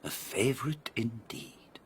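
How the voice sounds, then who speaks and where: An actor playing a haughty, sardonic palace lord in a radio drama, speaking with formal, mocking insinuation. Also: male voice, mid-range voice, animated, takes it slowly, a little hiss or hum.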